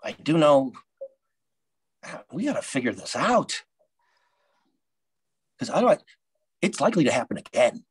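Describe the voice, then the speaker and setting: An actor playing a worried male insurrectionist over a video call, husky voice. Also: medium pitch, sing-song, a little slow, pauses often, dry recording, studio quality, full character voice. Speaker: actor in a comedy sketch. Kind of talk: video-call play reading. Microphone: video call webcam.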